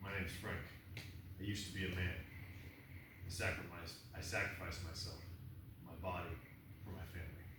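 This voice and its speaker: Man playing a low, gruff war robot, echoey. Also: little pitch movement, moderate pace, pauses often, far from the mic, natural voice. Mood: grave, resolute. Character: stoic, wry war robot who was once a man. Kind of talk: screenplay table read. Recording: roomy echo, off-mic, noisy background.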